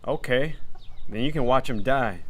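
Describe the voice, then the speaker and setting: A man's voice: mid-range voice, normal speed, close to an everyday voice. A man playing a hot-tempered, bullying troublemaker in a western radio drama. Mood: scornful, angry. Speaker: actor in a radio theater.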